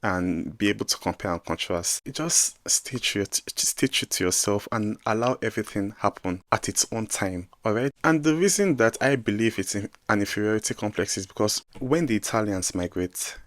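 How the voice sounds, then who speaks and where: A man in his twenties with a Nigerian accent, giving emphatic, animated advice in a casual vlog. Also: fairly low pitch, normal speed, few pauses, no room sound. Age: 20s. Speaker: vlogger giving advice to newcomers. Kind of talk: casual direct-to-camera vlog.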